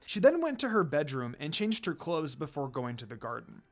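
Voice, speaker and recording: Expressive storyteller with lively, swinging pitch. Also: normal speed, faint music behind. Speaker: narrator. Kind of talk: dramatic fan fiction story reading.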